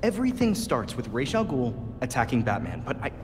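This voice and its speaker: A man's voice: medium pitch, huge pitch swings, fairly quick. An actor playing an earnest young male vigilante in a game cutscene. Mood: thoughtful. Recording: reverb with ambient noise.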